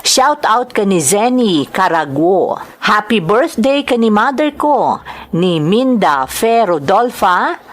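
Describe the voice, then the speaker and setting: Filipino lady host reading out stuff to listeners, slow pace, pitch moves around a lot. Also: big pitch swings. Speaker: host and storyteller of a drama channel. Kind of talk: reading greetings and a listener's letter.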